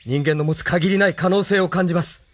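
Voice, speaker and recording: An actor playing an earnest, defiant young idealist hero in an audio drama. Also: male voice, high voice, a little fast, close to an everyday voice. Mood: earnest, questioning. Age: young adult. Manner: earnest, questioning.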